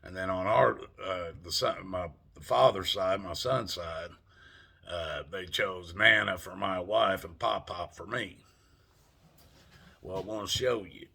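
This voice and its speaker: A man's voice: low voice, unhurried, gravelly, pushed and bright, slightly rough. An older man with a Southern US accent, rambling in a folksy, salty way as he talks to his camera for a vlog. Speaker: vlogger. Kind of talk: casual monologue to camera.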